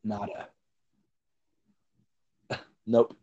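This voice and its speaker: A man's voice: very slow, dark tone. A man co-hosting a podcast in casual movie chat, heard over slightly dull remote call audio. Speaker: podcast co-host.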